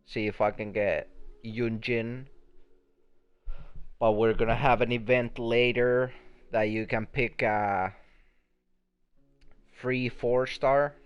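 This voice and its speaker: A man's voice: lowish voice, clear, resonant tone, muffled recording. A young man giving casual live gaming commentary, rambling along and swearing casually. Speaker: game streamer.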